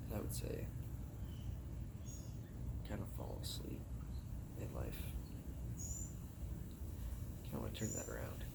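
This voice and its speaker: Rambling man over loud background noise. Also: slow, long pauses. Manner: meandering, musing about God and life. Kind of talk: rambling casual monologue.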